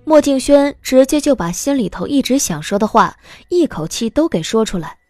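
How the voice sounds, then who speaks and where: Casting: female story narrator, animated and warm-toned, over soft background music. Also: high-pitched, moderate pace, dry recording. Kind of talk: scripted audiobook narration.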